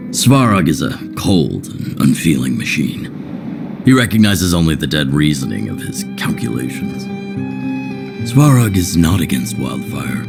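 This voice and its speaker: An actor playing a gruff, blunt older resistance leader in a game cutscene, measured and frank, with a gravelly voice. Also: male voice, a bass voice, full character voice. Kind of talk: dramatic game cutscene dialogue. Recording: loud music under the voice.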